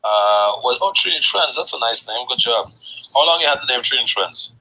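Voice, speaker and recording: A man hosting a sales session, giving a brash motivational pitch and teasing his guests, with casual cursing. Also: animated, fairly quick, very noisy.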